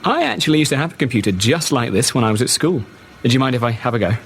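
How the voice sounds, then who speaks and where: A man's voice: mid-range voice, animated, rapid-fire, a bit bright, a little hiss or hum. An actor playing a polite, earnest, mildly bemused male official new to the place, understated and hesitant, in a radio sitcom. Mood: helpful.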